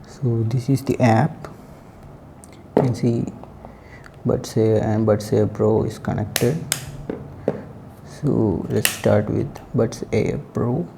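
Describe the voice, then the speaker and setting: A man giving a casual, unscripted product review in halting, short phrases. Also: on the low side, steady tone, vocal fry, background noise.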